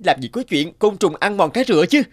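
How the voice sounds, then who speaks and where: A man voicing a hardworking, determined young peasant farmer in a cartoon, earnest and expressive. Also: high voice, brisk. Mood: indignant. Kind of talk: cartoon dialogue acting.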